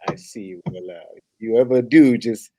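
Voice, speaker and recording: A man's voice: on the low side, normal speed, mellow, a little hiss or hum, close to an everyday voice. An actor playing a smitten, earnest young janitor in a comedy table read, hesitant and fumbling over his words. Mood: nervous, eager. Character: smitten, nervous, earnest young man mopping floors.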